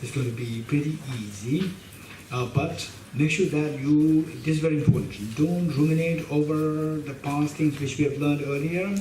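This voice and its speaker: A man's voice: fairly high pitch, normal speed, warm tone. A middle-aged man with a Tibetan accent, a Buddhist teacher leading a class, speaking emphatically and often repeating and restarting phrases. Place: meditation hall with shrine, indoors. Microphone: stand mic in front of him. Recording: roomy hall sound, mic a bit distant, faint hiss.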